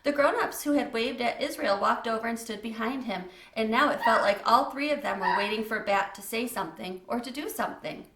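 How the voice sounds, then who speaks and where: Higher-pitched woman narrating a children's book. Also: fairly quick, few pauses, a bit bright, echoey room. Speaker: read-aloud storyteller.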